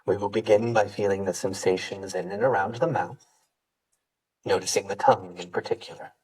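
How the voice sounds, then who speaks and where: A man with a fairly low, rough-edged voice guides a meditation as a yoga teacher. He gives gentle instructions and leaves pauses between them. He sounds well back from the microphone. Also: fairly even intonation, recorded from a distance, poor audio.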